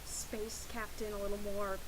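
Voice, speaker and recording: A woman's voice: medium pitch, level tone, normal speed, natural voice. An actress playing a bossy, fussy female stage director who nitpicks and interrupts in a game audio log. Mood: bossy, exasperated. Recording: clear hiss behind the voice.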